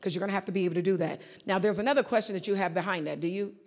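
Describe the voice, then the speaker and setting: A middle-aged woman facilitating a live seminar on a conference stage, speaking into a handheld mic with a lively, direct coaching manner. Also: medium pitch, racing. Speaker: workshop facilitator. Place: large conference hall stage.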